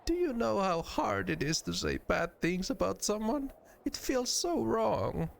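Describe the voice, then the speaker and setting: Game role: sweet, timid little young woman, played by a man, high and swooping, gushing and hesitant. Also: very animated, measured pace, vocal fry, slight background noise, heavily acted. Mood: reluctant, flustered. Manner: hesitant, gushing, exaggerated when scolding.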